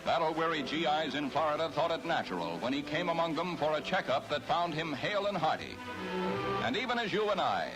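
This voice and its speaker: A man's voice: a little high, very animated, brisk, over music, poor audio. An American man narrating a 1950s newsreel in a grandiose, declamatory scripted style.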